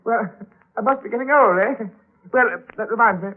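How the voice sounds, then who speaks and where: An actor playing an effusive, rambling old gentleman neighbour who repeats himself, in 1940s radio drama style, on a thin vintage recording. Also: very high voice, moderate pace, narrow, tinny audio. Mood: flustered, chatty. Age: older. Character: chatty, courtly old gentleman neighbour, a genial windbag.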